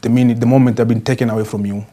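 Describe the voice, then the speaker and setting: A man's voice: medium pitch, level tone, rapid-fire, warm tone. A young Kenyan man, a professional goalkeeper, answering interview questions in a hesitant way, with many repeated words and restarts. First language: Swahili.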